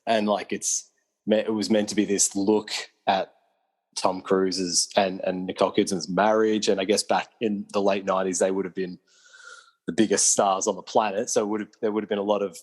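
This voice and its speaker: A man's voice: lowish voice, flat delivery, moderate pace, a little mellow. A man, co-hosting a casual film chat, asking questions in a hesitant way with restarts and fillers. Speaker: podcast co-host.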